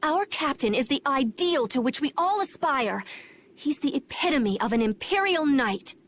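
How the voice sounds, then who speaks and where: Proud young knight. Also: female voice, high-pitched, lively intonation, normal speed, slight background noise. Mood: resentful. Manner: formal, resentful, urgent. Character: proud, loyal young female imperial knight. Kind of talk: video game voice acting.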